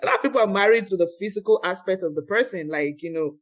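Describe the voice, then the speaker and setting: A man's voice: sits high, quick pace. A young man hosting a casual podcast chat, rambling through his points and playing devil's advocate. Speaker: podcast host.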